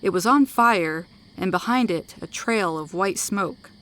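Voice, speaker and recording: A woman's voice: medium pitch, animated, a little slow, fairly bright tone. A woman narrating scripted sci-fi fiction in a dramatic storytelling style, close to the microphone.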